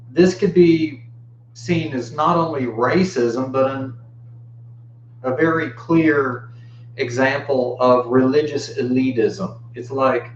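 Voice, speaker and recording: An American man of fifty-nine giving an informal dharma talk in a reflective, halting way with long pauses. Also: medium pitch. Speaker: Buddhist teacher giving a talk. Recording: muffled, roomy, faint background hum.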